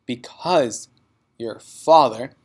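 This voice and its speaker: Man acting kind storybook schoolmistress, gentle, measured, calm, matter-of-fact. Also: mid-range voice, breathy edge. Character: kind, calm, gentle young woman teacher.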